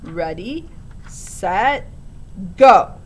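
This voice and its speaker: A woman voicing a bouncy, brisk female schoolteacher in a storybook. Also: expressive. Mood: brisk, gleeful. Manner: emphatic, brisk. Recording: low rumbling background noise.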